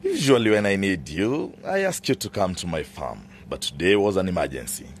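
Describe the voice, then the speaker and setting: An actor playing a menacing, scheming farm owner in a radio drama, domineering and theatrical, wheedling one moment and threatening the next. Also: male voice, deep voice, very animated, fairly quick, slight background noise. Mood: demanding.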